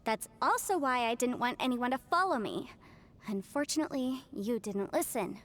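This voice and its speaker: Woman as a high-voiced, earnest young female peacemaker. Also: animated, normal speed, close to an everyday voice. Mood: regretful. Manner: polite, earnest, fretful.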